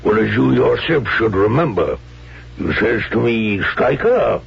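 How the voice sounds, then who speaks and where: A sly hired henchman in a vintage radio drama, his voice gravelly and lively, swinging about in pitch. He talks in folksy, unschooled grammar. The old recording is dull, with a faint hum. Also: a little slow, full character voice. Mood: sly, pleased. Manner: folksy, ungrammatical speech. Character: uneducated, sly male henchman who spies for hire. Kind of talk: 1970s radio drama acting.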